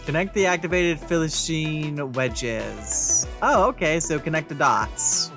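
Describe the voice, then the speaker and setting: A gamer talks casually over a playthrough, reacting aloud in a conversational way. The voice sits at a medium pitch, with game music underneath. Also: animated, slow pace. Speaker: let's play streamer. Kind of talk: casual gameplay commentary.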